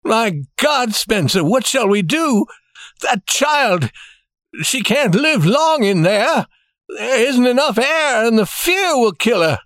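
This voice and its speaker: A man's voice: very high voice, big pitch swings, takes it slowly, a bit bright, no room sound, pitched above the performer's own voice, exaggerated voice. A man voicing a frantic old banker with a shaky voice in an audiobook story. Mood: despairing. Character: proud old male banker, shaken and frantic. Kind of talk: audiobook character voice.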